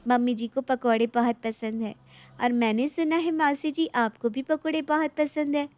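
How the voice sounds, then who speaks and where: A woman's voice: sits high, steady tone, moderate pace, a little mellow, close to an everyday voice. A woman playing a young, dutiful daughter-in-law in a moral story, speaking politely and earnestly. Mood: sweetly dutiful. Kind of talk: animated moral story dialogue.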